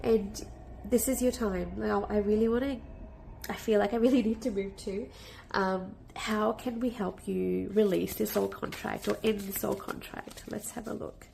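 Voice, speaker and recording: A woman with a cold, a bit nasal and croaky, giving a casual, reassuring tarot reading full of fillers and restarts. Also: mid-range voice, fairly even intonation, moderate pace, warm tone, slight background noise, poor audio. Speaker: tarot reader.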